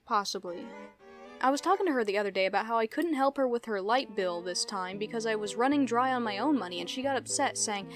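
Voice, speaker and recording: A woman's voice: a little high, lively intonation, quick pace, faint music behind. A woman in her twenties chatting casually as a YouTuber in a reaction vlog. She laughs often, rambles and pokes fun at herself. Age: 20s. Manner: giggly, rambling, self-deprecating.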